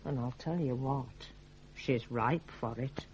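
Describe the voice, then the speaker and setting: An actress playing a scheming middle-aged mother in a radio drama, brisk and nagging, with a Northern English accent. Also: extremely low pitch, darkish tone, husky, heavily acted. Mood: scheming, bossy. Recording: dull 1980s radio broadcast recording.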